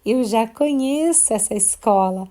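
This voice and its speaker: Storybook bird schoolboy played by a female narrator, Brazilian accent: mellow, calm, confident, comforting to a friend. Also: a little high, a little slow. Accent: Brazilian Portuguese.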